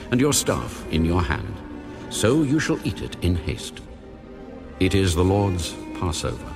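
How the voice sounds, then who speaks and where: An actor playing God as a majestic, stern male lawgiver, making solemn, deliberate pronouncements in a dramatized audio Bible. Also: low voice. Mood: solemn, instructing. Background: music.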